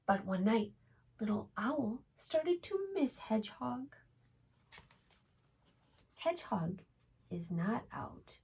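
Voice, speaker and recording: A woman reading aloud to young children as a preschool storytime host, expressive and often putting questions to her listeners. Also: a little high, slow pace, creaky, pauses often, breathy edge.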